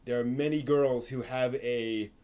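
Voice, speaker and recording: A man's voice: medium pitch, fairly even intonation, a little slow, mellow, smooth. A man hosting a live stream, talking through his thoughts casually with many restarts and fillers. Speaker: host of an anime live stream. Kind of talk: casual discussion answering chat.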